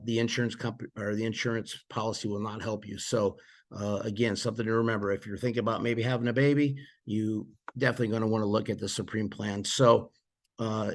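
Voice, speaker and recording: A man with a gravelly, mid-pitched voice talks through plan details in an easygoing, conversational way. He sounds a little hoarse, as if he is losing his voice. He often pauses on fillers and starts phrases over. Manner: frequent fillers and restarts, conversational. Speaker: presenter explaining insurance plans. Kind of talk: casual explanatory walkthrough.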